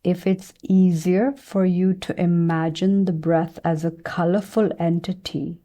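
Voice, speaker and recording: A woman leads a guided meditation in a soft, very mellow tone that sits a little low. She speaks very slowly and gently, leaving room between phrases as she gives soothing instructions. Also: little pitch movement. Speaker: meditation and yoga teacher.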